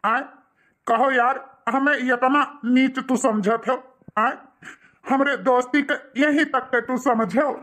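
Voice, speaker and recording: A man's voice: very high voice, steady tone, slow, pushed and bright, exaggerated voice. A man voicing a loyal, earnest village man in a cartoon, with an Awadhi accent and an emphatic, declaiming delivery. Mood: hurt, insistent.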